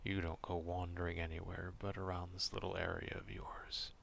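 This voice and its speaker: A man acting out a gruff, proud, distrustful werewolf in an ASMR roleplay, stern and curt but grudgingly caring, in a gravelly half-whisper. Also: low-pitched, flat delivery, normal speed, a bit bright, a little hiss or hum, heavily acted. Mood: guarded, softening.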